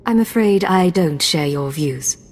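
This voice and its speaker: A woman's voice: lowish voice, lively intonation, a little slow, darkish tone. A woman playing a cool, sardonic female imperial agent in a video game, with dry delivery.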